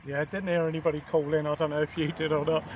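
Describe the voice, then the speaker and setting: Higher-voiced man in outdoor noise. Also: level tone, rapid-fire, a little mellow. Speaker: amateur radio operator. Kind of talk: casual radio contact chat. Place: outdoors at a portable radio station. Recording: clear outdoor background noise.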